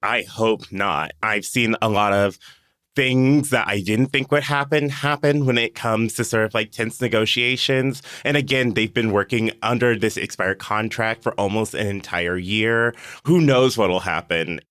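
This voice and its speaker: A man's voice: lowish voice, measured pace, a bit bright, smooth, dry recording, clean studio sound. A man chatting casually and explaining things as a podcast panelist.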